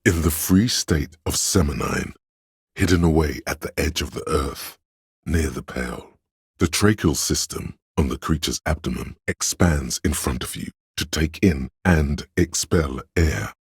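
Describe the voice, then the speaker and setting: Very deep, erudite encyclopedic inner voice. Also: male voice, creaky, slightly rough, no echo, studio quality, heavily acted. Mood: measured, scholarly. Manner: measured, erudite, declarative delivery. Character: encyclopedic inner voice of trivia, learned, solemn. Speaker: video game character voice. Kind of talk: scripted game narration.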